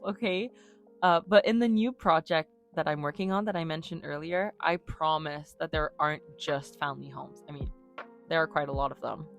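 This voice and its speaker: A woman's voice: sits low, gravelly. A young woman whose first language is French, chatting in a casual, upbeat voice-over about her game build. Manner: chatty, upbeat, self-deprecating. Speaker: YouTuber narrating a game build.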